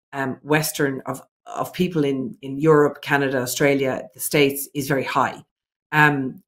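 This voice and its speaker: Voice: medium pitch, fairly bright tone. A business owner answering interview questions earnestly and reflectively, working through ideas point by point.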